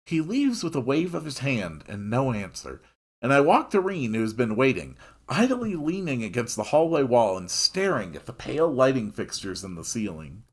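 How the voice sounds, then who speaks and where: A man reading a story aloud in an expressive narrating style. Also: mid-range voice, normal speed.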